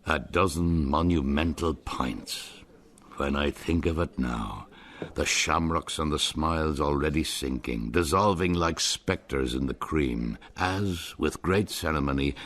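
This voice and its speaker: Very deep-voiced Irish man, wry storyteller narrating a radio play. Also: unhurried, fairly bright tone.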